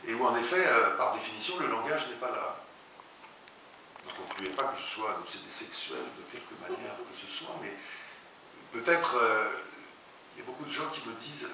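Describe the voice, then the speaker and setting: A man, a translator and writer, being interviewed before an audience, speaking haltingly with many restarts. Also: mid-range voice. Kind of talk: public talk interview. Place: large echoey hall, before an audience. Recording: very echoey hall, far from mic, hiss.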